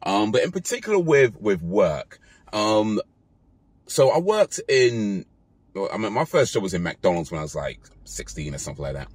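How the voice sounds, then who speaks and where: A British man in his thirties talking casually to his phone in a parked car, relaxed and rambling with fillers and self-corrections. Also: lowish voice, normal speed, breathy edge, no room sound. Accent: British English. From Britain. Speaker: vlogger. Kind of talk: casual talk to camera. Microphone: phone camera held close.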